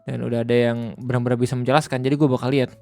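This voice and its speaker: A man's voice: medium pitch, little pitch movement, racing, creaky, warm tone, soft background music. A man hosting a livestream, reacting casually, joking and laughing at himself. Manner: jokey, laughs often, mutters to himself. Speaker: livestream host. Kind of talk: casual reaction stream chat.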